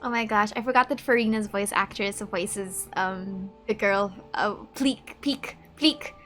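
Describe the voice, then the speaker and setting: A woman's voice: mid-range voice, measured pace, fairly bright tone, soft background music. A woman giving casual, playful playthrough commentary, reacting expressively to a game. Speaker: gaming video creator.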